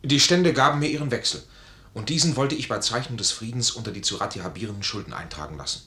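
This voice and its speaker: A proud, stubborn army major, played by a man in a 1950s radio play. His mid-pitched voice is forceful and bright, ringing in an echoey vintage recording. He declaims formally and gravely, guarding his honour. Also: fast talker, a little hiss or hum, close to an everyday voice. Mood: bitter, explaining.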